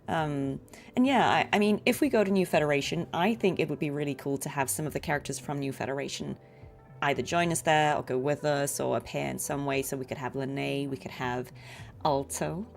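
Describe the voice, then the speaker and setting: A woman's voice: sits low, fairly quick, vocal fry. A woman streamer giving casual game commentary in a chatty, reflective, encouraging way. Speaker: streamer and voice actor.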